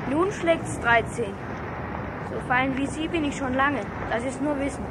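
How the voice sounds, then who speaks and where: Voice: sits high, a little slow. A young performer playing a proud, defiant poor boy in a 1960s German radio play. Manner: defiant, forthright. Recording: noisy old radio play with street ambience.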